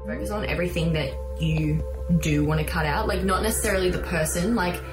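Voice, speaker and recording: A woman's voice: lowish voice, brisk. A woman speaking casually in an interview, with many fillers. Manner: relaxed, filler-heavy talk. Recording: echoey room with music.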